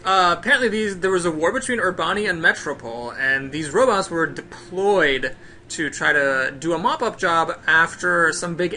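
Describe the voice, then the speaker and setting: A male game host with a bright, forceful voice. He narrates the play-through like a storyteller, stopping to recap and explain in a presenter's manner. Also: on the high side.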